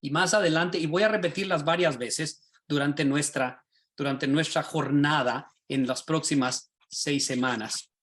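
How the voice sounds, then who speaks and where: A man, a pastor and teacher, teaching a class over a video call in a lively, sermon-like way, often asking his listeners rhetorical questions. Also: a little high, unhurried. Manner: animated, rhetorical questions, asks the class directly.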